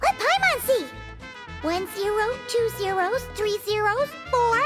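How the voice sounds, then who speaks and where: Tiny floating sprite girl, game cutscene, very high squeaky voice, sing-song lilt, chiming music behind. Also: animated, unhurried. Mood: excited. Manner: speaks of herself in third person, gasps, exclaims. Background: game music with chimes.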